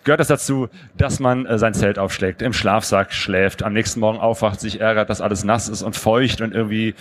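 A man's voice: on the low side, steady tone, a little fast. A man from the Cologne area co-hosting a live motorcycle travel podcast on stage, easygoing and joking. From Germany, Cologne area. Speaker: podcast host.

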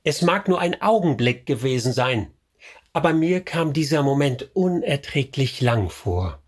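A man narrating a radio drama in the first person, measured and reflective. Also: mid-range voice, very animated. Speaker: narrator character.

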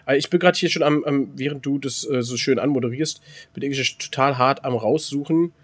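A man's voice: mid-range voice, brisk. A man co-hosting a podcast in casual, teasing banter, often repeating the starts of his phrases. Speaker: podcast co-host.

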